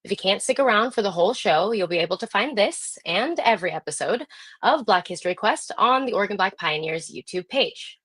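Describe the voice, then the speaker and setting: A woman's voice: medium pitch, a bit bright, no room sound. A woman hosting a live online program, addressing viewers directly in a presenter's introduction style. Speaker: host. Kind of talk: scripted-sounding live show introduction.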